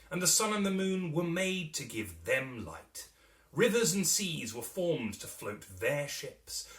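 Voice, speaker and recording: A man narrating a classic novel as a dramatic reading, theatrical and expressive, with carefully shaped phrasing. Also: fairly high pitch, distant mic. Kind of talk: dramatic literary audiobook narration.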